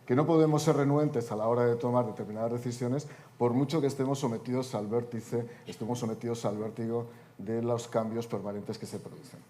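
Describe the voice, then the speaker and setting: Man, 50s, panel speaker. Mellow, wry, speechmaking tone, faint hum behind. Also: medium pitch, fairly even intonation, fairly quick, few pauses. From Spain. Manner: wry, joking asides in an oratorical delivery. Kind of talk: panel debate talk. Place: conference hall panel.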